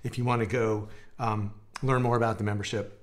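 A man in his forties teaching a guitar lesson in a relaxed, conversational way, his voice a little gravelly from a dry throat. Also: lowish voice, fairly quick. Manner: relaxed, conversational, explaining with hand gestures and demonstrating. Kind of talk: live-streamed guitar lesson. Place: home music room with guitars on the walls.

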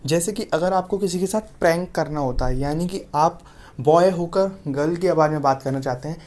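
A man's voice: fairly high pitch, moderate pace, darkish tone. A man giving an app tutorial voice-over as a YouTube host, often addressing his viewers as friends.

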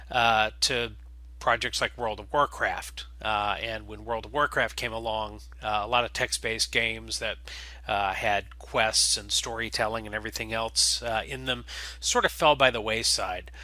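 A man hosting an audio log, talking casually and haltingly, with very frequent uhs. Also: on the low side, pushed and bright, no room sound. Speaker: host and game creator.

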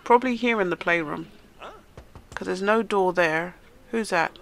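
A woman's voice: fairly low pitch, normal speed, creaky. A woman hosting a casual let's-play, chatting to the game characters as she plays, sounding nasal and stuffed up from a cold. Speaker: gaming channel host.

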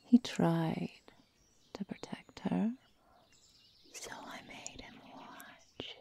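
A woman voicing a sadistic, predatory young werewolf girl in an ASMR roleplay, whispering and murmuring with slow, taunting menace. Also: lowish voice, warm tone, exaggerated voice. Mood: sadistic, gloating. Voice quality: breathy, husky whisper with gravelly murmurs.

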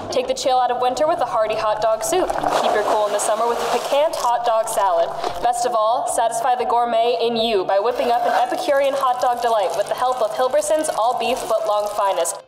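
A woman's voice: fairly high pitch, a little mellow, natural voice. Woman as echoey, salesy radio announcer. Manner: salesy, florid. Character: persuasive old-time radio hot dog advertiser. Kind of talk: old-time radio commercial announcing.

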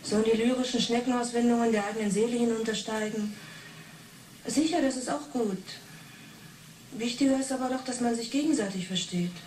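An actress playing a stubborn young reporter under questioning in a radio drama, terse and earnest. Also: female voice, on the high side, fairly quick, darkish tone, natural voice. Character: defiant 28-year-old female reporter under questioning. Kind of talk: 1980s German radio drama acting. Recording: roomy, distant, hissy interrogation tape.

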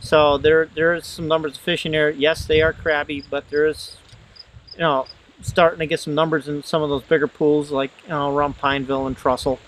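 The folksy American guy at the riverbank who tells you how the day is going, voice a bit high, faint insect noise around him. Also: level tone, a little fast, a bit bright, low-quality recording. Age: middle-aged. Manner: folksy, plain-spoken. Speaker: fishing guide. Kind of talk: casual spoken fishing report. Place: outdoors in an open riverside meadow. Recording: outdoor insect and background noise.

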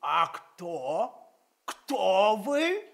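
High, sharp, fussy scholar. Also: male voice, sing-song, drawn-out words, creaky, heavily acted. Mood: fussy, dismissive. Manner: pedantic, dismissive. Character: fussy, dismissive learned scholar of giants. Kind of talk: theatrical radio play acting.

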